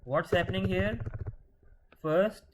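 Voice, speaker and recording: A man's voice: sits high, takes it slowly, background noise. A man teaching a programming lesson, patiently asking questions and answering them himself, often restarting words. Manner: restarts words mid-sentence. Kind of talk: screen-share coding lesson.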